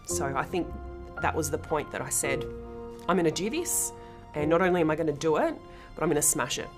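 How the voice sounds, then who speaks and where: A woman's voice: low voice, expressive, brisk. An Australian woman giving a candid, plain-spoken personal testimonial. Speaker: fitness challenge participant. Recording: clear background music.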